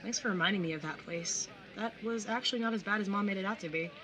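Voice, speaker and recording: A woman's voice: mid-range voice, quick pace, background noise, natural voice. A young woman playing a caring, slightly awkward and wry older sibling in a casual audio drama. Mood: relaxed, tentative. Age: young adult. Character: awkward, caring older sibling, early twenties, tattooed, teasing. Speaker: narrator and lead character. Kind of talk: audio drama acting with first-person narration.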